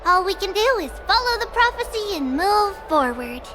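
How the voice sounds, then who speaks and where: A woman's voice: extremely high pitch, sing-song, moderate pace. A woman voicing a cheerful, earnest little girl prophet in a video game. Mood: earnest, explaining.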